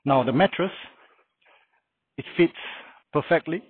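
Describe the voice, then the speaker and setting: A man's voice: on the high side, unhurried, slightly rough, no echo. Dry, phone-like man giving a car tour. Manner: plain, instructive, with frequent uh fillers. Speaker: vlogger demonstrating a car camping setup. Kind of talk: casual how-to walkthrough. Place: outdoor carport beside a house. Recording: dry, phone-like narrow sound.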